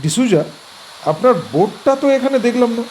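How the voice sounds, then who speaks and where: An actor playing an easygoing, curious adult male visitor, speaking in a relaxed, conversational way. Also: very high voice, moderate pace, a little hiss or hum, poor audio. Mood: casual, curious. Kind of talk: audio drama acting.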